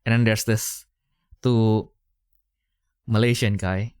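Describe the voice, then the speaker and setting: A man's voice: on the low side, fairly even intonation, slow, darkish tone, clean tone, no room sound, clean studio sound. A young man, hosting a podcast in casual, rambling chat full of fillers and restarts. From Indonesia. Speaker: podcast host.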